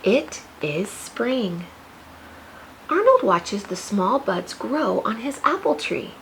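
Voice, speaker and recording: An American woman teacher reading a picture book aloud to young children, expressive, with questions and teaching asides. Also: on the high side, takes it slowly, a little hiss or hum, rough recording.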